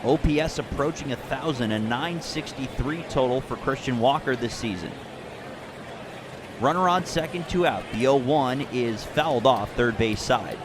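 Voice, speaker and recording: Male baseball radio announcer over clear background noise. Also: mid-range voice, moderate pace. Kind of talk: live radio sports commentary with sponsor reads.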